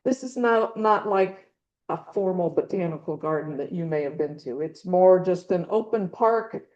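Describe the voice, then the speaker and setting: A woman's voice: fairly low pitch, moderate pace, a little mellow. Middle-aged lady chatting through her travel slides, lots of ums, kinda dull lo-fi sound. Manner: chatty, rambling, frequent ums and restarts. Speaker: presenter at a birding club talk. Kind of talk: casual slide presentation. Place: meeting room with a small audience. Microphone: handheld or clip mic with a dying battery.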